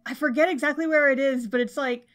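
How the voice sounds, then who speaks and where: A woman's voice: high-pitched, steady tone, fast talker. A woman co-hosting a podcast, reacting in casual banter with sarcastic, exasperated interjections. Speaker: podcast co-host.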